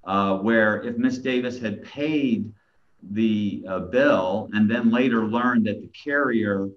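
Middle-aged man, attorney, halting restarts, measured legal argument, muffled lo-fi webcam audio, small plain room. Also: fairly low pitch. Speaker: attorney arguing an appeal. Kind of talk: courtroom oral argument. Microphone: video call webcam. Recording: dull, lo-fi video call audio.

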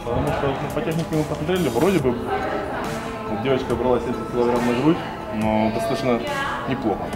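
A man's voice: mid-range voice, fairly even intonation, fast talker. A young man walking a gym with a camera, commenting casually as he thinks aloud, with fillers and restarts. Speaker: weightlifting vlogger touring a gym. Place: large gym hall indoors. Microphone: handheld camera at close range. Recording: echoey gym hall with loud music. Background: loud music.

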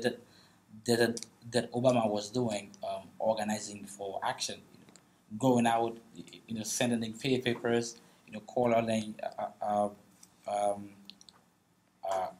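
Haitian man, middle-aged, panel guest, halting restarts, laughs often, meeting room. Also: mid-range voice, slight background noise. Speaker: guest speaker, author. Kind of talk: storytelling on a panel. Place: large meeting room, seated on stage.

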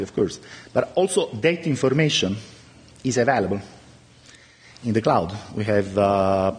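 A middle-aged man whose first language is Italian, giving a conference talk from a podium with many halting pauses. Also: medium pitch, breathy edge, long reverb tail. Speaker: conference speaker. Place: large lecture room at a podium.

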